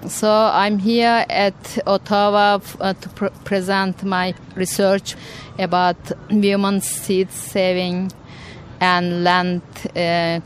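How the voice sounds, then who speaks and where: A woman's voice: fairly low pitch, a little hiss or hum. A woman from Turkey, answering interview questions hesitantly and restarting as she searches for words. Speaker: interview guest, researcher. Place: conference venue.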